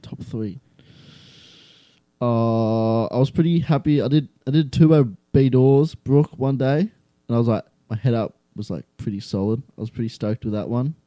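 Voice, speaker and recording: Young Australian man, relaxed mumbly chatter, mellow voice, dry mic. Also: mid-range voice, normal speed, no echo. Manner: laid-back, mumbly, easygoing slang. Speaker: guest skydiver. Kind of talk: casual chat.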